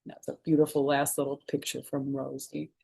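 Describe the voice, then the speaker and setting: A woman's voice: rumbling low, monotone, moderate pace, creaky, dark tone, slightly rough. A middle-aged woman reading a picture book expressively to children, adding chatty asides, recorded at home. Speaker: children's storytime reader. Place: small furnished room at home.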